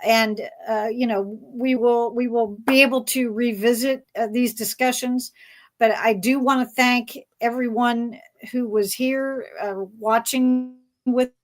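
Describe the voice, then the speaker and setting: A woman's voice: on the high side, steady tone, a little slow. A woman hosting a casual fan panel, talking in a hesitant, rambling way as she searches for words. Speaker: panel host.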